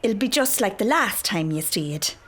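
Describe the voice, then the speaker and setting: A woman's voice: mid-range voice, sing-song, normal speed, fairly bright tone. A woman playing a fussing, anxious, protective Irish mother in a naturalistic radio drama. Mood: fussing, caring.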